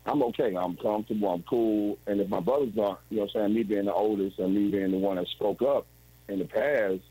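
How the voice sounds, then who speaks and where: A man's voice: fairly low pitch, brisk, mellow, slightly rough, rough recording. A man in his forties telling his story as an interview guest over a video call, rambling and restarting often. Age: 40s. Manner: rambling, with frequent restarts and "you know what I'm saying".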